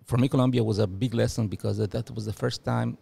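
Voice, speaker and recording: A man in his forties, originally from Uruguay, talking in a podcast interview with halting restarts and fillers, on a studio mic. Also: mid-range voice, fairly even intonation, gravelly, mellow, slightly rough. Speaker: guest, former diplomat. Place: studio office room. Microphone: studio mic with headphones.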